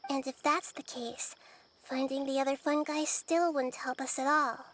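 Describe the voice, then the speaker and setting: A woman playing a wise, childlike young goddess in a video game, gentle, composed and thoughtful. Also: high voice, fairly even intonation, normal speed, a little hiss or hum. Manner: thoughtful, reassuring, explanatory. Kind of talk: scripted game dialogue.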